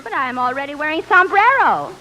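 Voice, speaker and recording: Bright, high-voiced rancher's daughter. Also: female voice, very animated, brisk, soft background music, full character voice, poor audio. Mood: deadpan protesting. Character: naive, wisecracking young Mexican rancher's daughter. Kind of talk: 1940s radio comedy acting. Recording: hissy old radio recording.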